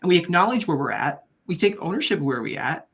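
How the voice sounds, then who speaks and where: A man's voice: fairly high pitch, big pitch swings, quick pace, vocal fry, a bit bright. A man speaking as an interview guest, upbeat and faith-driven, often checking in with the listener. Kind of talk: motivational interview answers.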